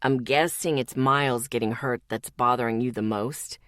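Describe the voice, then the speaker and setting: A woman voicing a calm, low-voiced young man. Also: moderate pace, no room sound, clean studio sound. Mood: calm firm. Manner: low, soft and even.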